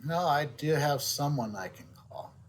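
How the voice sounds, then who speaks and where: A man's voice: fairly high pitch, lively intonation, measured pace, slight background noise. A man streaming a video game and making casual, offhand comments over the cutscenes, sometimes stumbling over his words.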